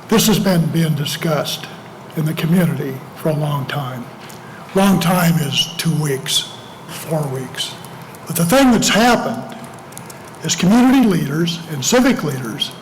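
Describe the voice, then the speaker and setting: An older man with a raspy, slightly hoarse voice, giving a public address at a podium. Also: a little high. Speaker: community campaign speaker. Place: large public meeting room, at a podium. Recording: reverberant meeting room, clear background noise.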